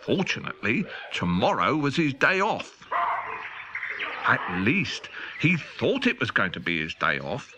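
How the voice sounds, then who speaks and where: Lively comic male storyteller. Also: medium pitch, measured pace, noisy background. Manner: playful, comic, spirited delivery. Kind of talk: scripted children's audiobook narration.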